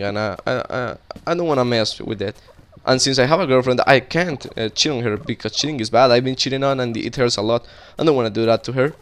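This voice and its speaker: A young man with a Colombian Spanish accent talks loosely over his gameplay, drifting between stories and what he is building. His voice is smooth and clear, sitting at an ordinary pitch. He restarts sentences often, in an easygoing, conversational way. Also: fairly bright tone. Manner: rambling and chatty, talking while playing, frequent restarts. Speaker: gaming video creator. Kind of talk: casual gameplay commentary.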